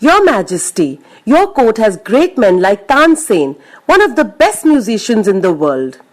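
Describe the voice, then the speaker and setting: A woman playing a scheming, flattering male courtier with an obsequious manner in a children's story. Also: on the high side, unhurried, pitched above the performer's own voice.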